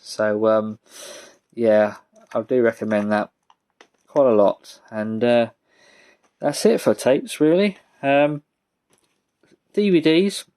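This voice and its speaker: A British man giving a casual, rambling show-and-tell as a vlogger, speaking in a halting, low-key way. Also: darkish tone. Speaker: vlogger showing a music collection.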